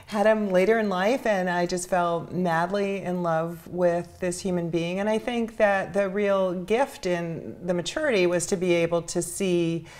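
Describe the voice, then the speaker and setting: A middle-aged woman with an American accent, telling her personal story earnestly and reflectively as an interview guest, pausing between phrases. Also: lowish voice, a little mellow. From New York City, United States. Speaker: interview guest, charity founder.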